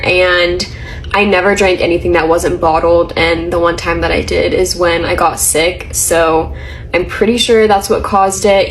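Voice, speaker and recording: A woman's voice: lowish voice, poor audio. A young woman sharing a personal experience in casual vlog chat. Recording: faint background ambient noise.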